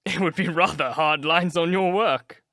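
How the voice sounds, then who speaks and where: A man reading aloud, voicing a witty, languid aristocratic gentleman with an airy, amused manner. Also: on the high side, moderate pace, no room sound, studio quality.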